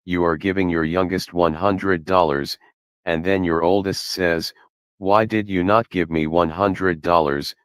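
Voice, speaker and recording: A man giving measured, critical reaction commentary as a commentator over a clip. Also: deep voice, steady tone, fairly bright tone, smooth, no room sound, clean studio sound.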